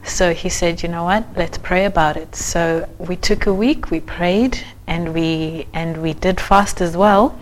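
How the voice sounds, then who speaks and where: A young adult woman from Zimbabwe telling her personal faith story in a soft-spoken, casual way, with many fillers and restarts. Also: on the low side, slight background noise, low-quality recording. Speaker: church member sharing her story. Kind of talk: personal testimony.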